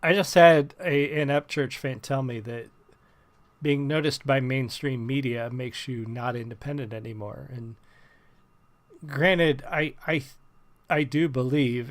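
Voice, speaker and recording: Man reviewing music, halting and chatty. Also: mid-range voice. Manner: hesitant, many ums and restarts. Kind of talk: casual music reaction commentary.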